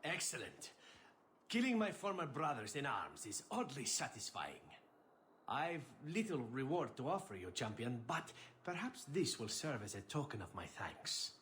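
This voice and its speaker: An actor playing a suave, sardonic assassin in a video game, with a wry, courtly manner. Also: male voice, mid-range voice, fairly bright tone, slight background noise. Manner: wry, courtly, lightly amused. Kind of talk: video game cutscene acting.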